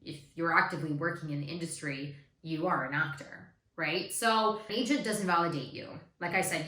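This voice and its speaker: A young woman from Canada, an actor, giving advice in a vlog with a raspy voice, talking emphatically and repeating her points for stress. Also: medium pitch, bright, forceful tone. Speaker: actor and video host. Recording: echoey room, faint music.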